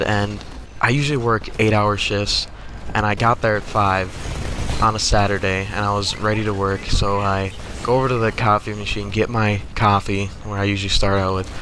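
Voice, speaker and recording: Young American male gaming creator, lowish voice, casual sweary storytelling with clear background noise. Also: a bit bright. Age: young adult. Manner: profane, exasperated, conversational. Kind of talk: casual comic storytelling over gameplay.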